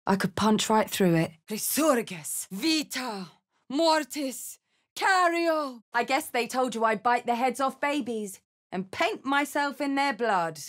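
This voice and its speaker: A woman's voice: on the high side, animated, takes it slowly. A woman voicing a bold, blunt, battle-hardened tiefling warrior for a fantasy video game, with dramatic delivery. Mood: commanding incantation, then defiant, fierce.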